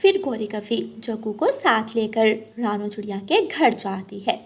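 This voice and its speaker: A woman narrates a children's tale from a script. Her voice sits fairly high in pitch. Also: big pitch swings, unhurried, breathy edge.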